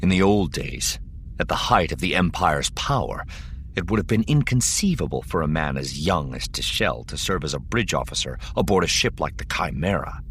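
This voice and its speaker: A man narrates an audiobook in a deep voice. His delivery is dramatic and scripted, with faint noise behind him. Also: lively intonation, normal speed, fairly bright tone.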